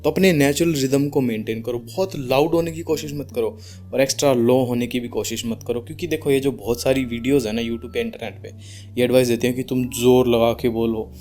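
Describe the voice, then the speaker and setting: A young man with an Indian accent and a deep voice gives informal speaking advice calmly, without strain, mixing in English words and leaving deliberate pauses. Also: soft background music. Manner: calm, unforced, deliberate pauses; mixes English words into speech. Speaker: speaking coach. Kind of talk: informal advice talk to camera.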